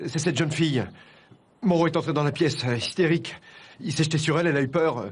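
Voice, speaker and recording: Flustered, polite man, slightly high-voiced. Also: fast talker, husky. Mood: flustered, explaining. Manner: polite, hesitant, apologetic, easily rattled. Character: earnest, flustered academic man working among police. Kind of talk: naturalistic French film acting.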